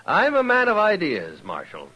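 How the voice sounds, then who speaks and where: An actor playing an excitable, self-important photographer professor, fussy and grandiloquent, in a 1950s radio western. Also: male voice, sits high, normal speed, dull audio. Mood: impatient, sly.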